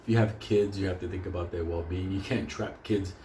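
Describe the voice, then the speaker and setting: A man's voice: low voice, fairly even intonation, a little fast, mellow. A man whose first language is Spanish, telling rambling, profane stories in a casual, joking way. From Puerto Rico or a Puerto Rican community. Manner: rambling, heavy swearing, frequently breaking into laughter. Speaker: storyteller, host. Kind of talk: casual, profane comedic storytelling. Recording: roomy echo with faint background noise.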